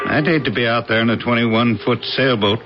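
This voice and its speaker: An actor playing a cautious dockside acquaintance in a radio drama, speaking in a folksy, colloquial way. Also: male voice, medium pitch, a little fast, background noise, natural voice. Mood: concerned, friendly. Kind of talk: 1980s radio drama acting. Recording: dull lo-fi old radio broadcast, music bed.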